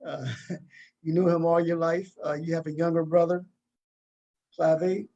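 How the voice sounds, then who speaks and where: A man's voice: fairly high pitch, flat delivery, measured pace, gravelly, warm tone, lo-fi sound. A man hosting a casual talk show interview, encouraging his guests and often repeating himself. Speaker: host interviewing a play's cast.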